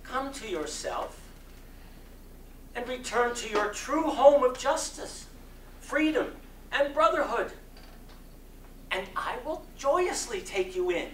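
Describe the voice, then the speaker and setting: A man giving a dramatic reading of a sermon, oratorical and impassioned, with deliberate phrasing and strong emphasis. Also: extremely high pitch, expressive, a bit bright. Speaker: reader of a sermon. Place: community center hall. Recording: distant mic in echoey room, faint noise.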